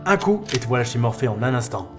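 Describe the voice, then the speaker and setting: A man's voice: mid-range voice, big pitch swings, fast talker, music in the background, lightly acted. A man playing a cocky, easygoing young member of a thief crew in a French audio drama, with a casual manner. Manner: casual, boastful.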